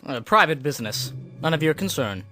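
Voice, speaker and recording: A man's voice: on the high side, sing-song, a little fast, pushed and bright, faint music behind. A man playing a bold, self-assured young adventurer, brusque and self-important, in an audio drama. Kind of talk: amateur audio drama acting.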